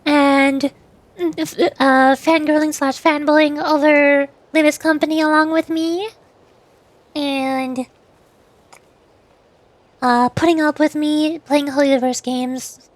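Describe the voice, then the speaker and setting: A woman's voice: high-pitched, level tone, takes it slowly, long pauses, no room sound. A woman streaming a game, chatting casually and playfully with her viewers. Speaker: VTuber streamer. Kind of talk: casual live-stream gameplay chat. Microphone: desk microphone.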